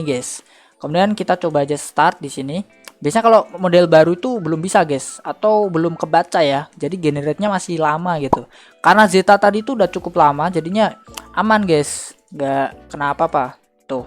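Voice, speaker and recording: Chatty young man over faint music. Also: on the high side. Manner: informal, slangy, chatty. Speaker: tutorial host. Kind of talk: casual software tutorial. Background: faint background music.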